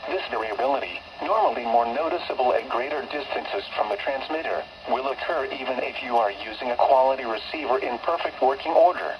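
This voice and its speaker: A synthetic male announcer reads out a weather broadcast, every phrase spaced evenly, with sudden pitch jumps on the numbers. He comes through a muffled radio receiver, and steady static hisses behind him. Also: creaky. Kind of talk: automated weather broadcast reading.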